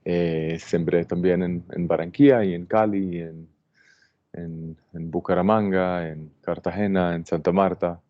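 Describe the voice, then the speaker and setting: A man with an Israeli accent and a very deep, low voice opens an online plant workshop. He speaks calmly and haltingly, with many fillers and restarts. The sound is dry and a bit muffled, like a computer mic on a video call. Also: dry recording. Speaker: landscape designer teaching a plant workshop.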